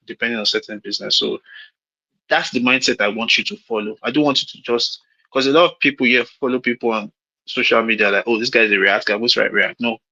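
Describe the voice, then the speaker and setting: A Nigerian-accented man in his late thirties talks frankly over a call, circling back and restarting as he gives advice. His voice has a bright, forceful edge. The audio is a little dull and lo-fi. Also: medium pitch. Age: late 30s. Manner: frank, rambling, repeats himself with restarts. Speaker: mentor hosting a call.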